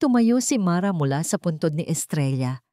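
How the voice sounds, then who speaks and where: A woman with a Filipino accent narrates a dramatic scripted story with emotive, deliberate phrasing. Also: fairly low pitch, very animated, dark tone, no echo, studio quality.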